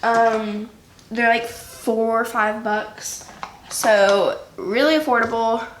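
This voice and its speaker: Young female beauty vlogger, slow chatty delivery, a bit nasal, roomy echo and faint hiss. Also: a little high, fairly even intonation. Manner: chatty, filler-heavy, unhurried. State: slightly nasal from a cold. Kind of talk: casual makeup tutorial. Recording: echoey room with faint noise.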